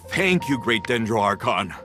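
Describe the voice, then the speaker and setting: A weary low-voiced laborer. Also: male voice, very animated, moderate pace. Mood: grateful. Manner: tired, plain-spoken, sighing. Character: weary working-class carpenter father, adult man. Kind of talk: game voice acting. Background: game music.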